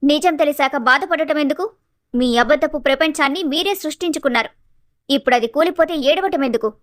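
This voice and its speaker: A woman voices a composed young daughter-in-law from an animated family tale. Her pitch is high, and she stands her ground with polite, reproachful firmness. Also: fairly quick. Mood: firm, reproachful. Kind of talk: animated family story acting.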